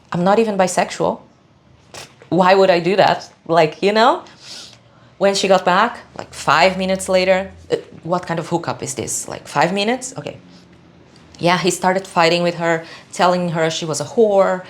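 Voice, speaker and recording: A woman from Brazil with a Brazilian accent speaking English as a second language, giving blunt, emphatic opinions to the camera with frequent hesitations. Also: medium pitch. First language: Portuguese. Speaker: video channel host.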